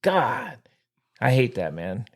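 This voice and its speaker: A man's voice: mid-range voice, sing-song, slow pace. A man co-hosting a casual podcast, ranting in a profane, exasperated way. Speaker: podcast co-host. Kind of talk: casual sports chat and rant.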